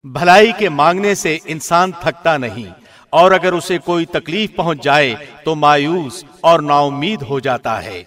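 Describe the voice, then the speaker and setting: A man reading a scripture translation in a formal, measured radio presenter's style. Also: fairly high pitch, lively intonation, fairly bright tone.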